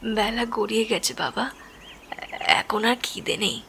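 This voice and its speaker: A woman playing a poor, gentle, devout village mother in an audio drama, speaking rustic speech tenderly and wearily. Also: on the high side, measured pace, creaky, slight background noise, exaggerated voice. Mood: weary, soothing. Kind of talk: audio drama acting of a Bengali story. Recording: music bed behind the voice.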